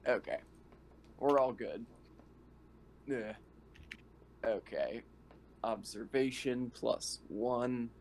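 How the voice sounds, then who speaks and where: A man's voice: medium pitch, very slow, long pauses. A man playing a tabletop roleplaying game over online voice chat, chatting casually and jokingly, with some swearing. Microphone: online voice call. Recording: faint noise on online voice chat.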